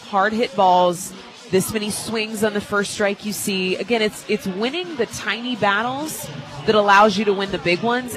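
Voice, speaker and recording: A woman giving conversational live color analysis of a softball game from a radio booth, with emphatic, repeated phrasing. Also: mid-range voice, poor audio. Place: radio booth at an outdoor ballpark.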